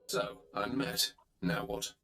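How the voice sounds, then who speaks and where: An actor playing a curt, domineering Sith warrior in a video game, with clipped, cold delivery. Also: male voice, fairly low pitch, very animated, slow, pushed and bright, reverberant, exaggerated voice. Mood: cool impatience.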